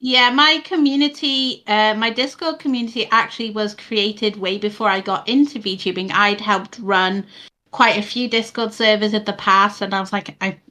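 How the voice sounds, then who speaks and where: A woman's voice: mid-range voice, fairly even intonation, moderate pace, pushed and bright. A woman in her thirties from Wales with a Welsh accent and a soothing voice, chatting as an interview guest with lots of fillers and restarts. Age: 30s. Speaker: guest, VTuber. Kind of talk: casual interview chat. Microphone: boom mic.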